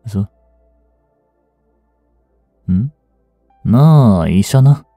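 An actor playing a rough, cocky mafia boss with hidden tenderness in an intimate audio drama, gruff and teasing in a drawl. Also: male voice, fairly low pitch, animated, very slow, warm tone, no room sound, heavily acted. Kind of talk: intimate Japanese-style dramatic voice acting aimed at women listeners. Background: music.

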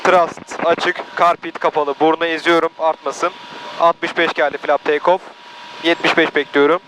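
A man's voice: fairly high pitch, little pitch movement, moderate pace. A young man flying as a student pilot, saying each action and radio call aloud in a small plane cockpit over a headset. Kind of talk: radio calls and callouts in flight. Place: small airplane cockpit in flight. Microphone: aviation headset. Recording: cockpit intercom with engine hiss.

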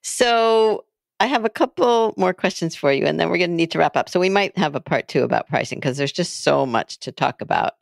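A woman's voice: on the low side, lively intonation, a little fast, gravelly, dry recording, studio quality. A woman speaking conversationally as a podcast host conducting an interview.

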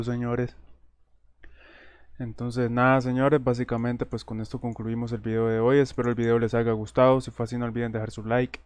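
A man's voice: mid-range voice, darkish tone, clean tone, no echo. A man hosting a news channel, chatting casually over a screen share and often calling his viewers "señores". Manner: conversational, keeps saying "señores". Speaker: host of an entertainment news channel.

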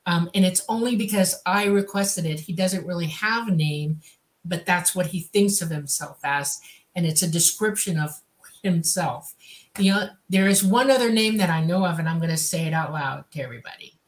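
A woman's voice: fairly low pitch, normal speed. An older woman with a Swedish accent, a native Swedish speaker, co-hosting and chatting casually over a video call. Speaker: co-host. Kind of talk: casual interview talk.

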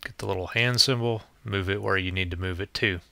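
A man's voice: low voice, fairly quick, creaky, bright, forceful tone, no echo. A man presenting an informal demo as a tutorial, explaining things step by step in a plainspoken way.

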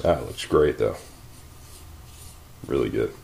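Low-voiced man talking over his workbench. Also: slow, gravelly, a little mellow. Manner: joking, exclaiming and thinking aloud while working. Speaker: maker channel host. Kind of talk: casual workshop commentary. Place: home garage workshop.

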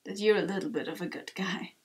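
A woman's voice: mid-range voice, fairly even intonation, fast talker, slightly rough, lightly acted. A woman voicing a teasing little villain henchman monster in a video game, drawling and needling.

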